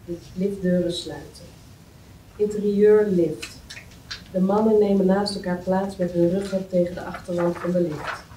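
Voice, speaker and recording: Low, mellow woman reading stage directions, roomy. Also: little pitch movement, moderate pace. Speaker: script reader of stage directions. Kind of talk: scripted narration, screenplay table read. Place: meeting room, indoors. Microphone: far camera. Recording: roomy, distant mic, faint noise.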